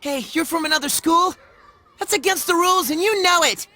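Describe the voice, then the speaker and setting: A woman's voice: extremely high pitch, fairly quick, pushed and bright. A woman playing a pushy, indignant schoolgirl in an anime video game.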